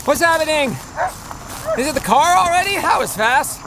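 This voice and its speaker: Animated, good-natured middle-aged dad. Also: male voice, very high voice, normal speed, noisy background. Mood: breathless, flustered. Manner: calming, reasoning. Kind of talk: children's audio drama acting.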